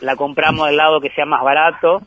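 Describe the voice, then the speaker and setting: A man's voice: on the high side, little pitch movement, brisk, a bit bright, no echo. A man, a guest filmmaker, talking through his group's work in a rambling, thoughtful way with many restarts. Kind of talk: conversational interview over the phone. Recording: tinny phone line.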